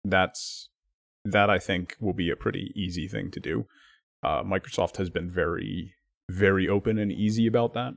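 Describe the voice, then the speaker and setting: A man streaming live, chatting casually with his viewers and thanking them by name, his talk full of lively swings up and down. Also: low voice, moderate pace, gravelly, dry recording, clean studio sound.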